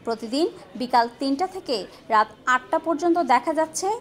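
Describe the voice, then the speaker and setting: High-pitched woman narrating news. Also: animated, a little fast. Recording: faint music behind voice. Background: faint background music.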